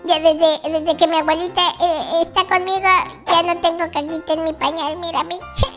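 A tiny, whiny baby character for a Minecraft roleplay. The voice is extremely high and chatters in exclamations. Music plays behind it. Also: level tone, moderate pace, fairly bright tone. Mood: worried. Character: whiny baby noob, small child in diapers. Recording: music bed under the voice.

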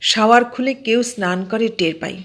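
A woman voicing a mild, stubbornly sceptical man in an audio story, explaining things in a measured, matter-of-fact way. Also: a little high, fairly bright tone, close to an everyday voice.

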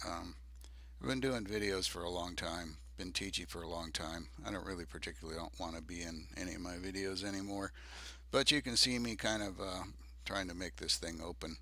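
An older man narrating a DIY project video off the cuff, halting and hesitant, with many ums. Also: deep voice, pushed and bright. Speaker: DIY presenter.

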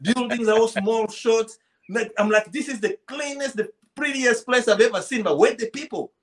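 High-pitched Central African man telling stories. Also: moderate pace, fairly bright tone. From Congo. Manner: repeats phrases, acts out dialogue. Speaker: podcast guest, poet. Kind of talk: animated personal storytelling in casual chat. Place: small indoor room at home, video call.

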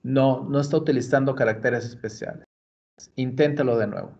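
A man's voice: medium pitch, fairly even intonation, darkish tone. A man from Mexico patiently explaining computer steps in a screen-recorded library tutorial. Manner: slow, patient, step-by-step explaining. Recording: dull lo-fi screencast audio.

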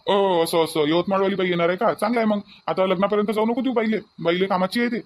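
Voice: medium pitch, fast talker, a little hiss or hum, close to an everyday voice. A performer voicing a mild middle-aged village husband in a comic cartoon, chiding and placating in a Varhadi Vidarbha accent. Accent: Varhadi Marathi of Vidarbha.

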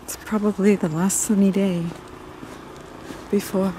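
Slow, mellow middle-aged woman, outdoors. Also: mid-range voice, steady tone, low-quality recording. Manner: gentle, unhurried, meandering. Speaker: ASMR video creator. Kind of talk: casual ASMR roleplay chat while walking. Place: outdoors on paths through woods and streets. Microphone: handheld camera at arm's length. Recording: outdoor ambient noise, passing traffic.

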